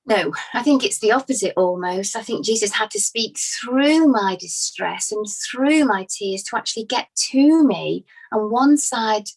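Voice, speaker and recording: A woman playing an earnest, grieving follower giving hesitant, conversational testimony with conviction in a courtroom drama, her voice slightly husky. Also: on the high side, animated, unhurried, few pauses, full character voice, rough recording. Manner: hesitant, conversational, emphatic about her certainty. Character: Mary, earnest grieving female follower of Jesus testifying as witness.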